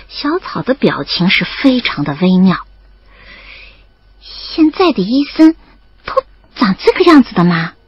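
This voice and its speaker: A young woman acting as a lively student in love in an audio drama, telling her story and playing the dialogue. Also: fairly high pitch, husky, dry recording, heavily acted. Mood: animated, teasing. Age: young adult. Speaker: narrator and lead actress. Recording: slightly dull audio over background music. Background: music.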